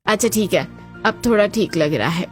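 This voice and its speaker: A woman's voice: mid-range voice, lively intonation, normal speed, soft background music, close to an everyday voice. A woman playing a curt older mother-in-law in a cartoon story. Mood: relieved.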